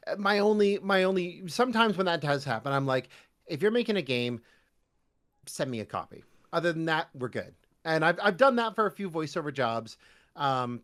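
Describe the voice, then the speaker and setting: Animated, rambling male podcast guest. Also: mid-range voice. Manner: jokey, rambling, stop-start phrasing. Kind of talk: casual interview chat.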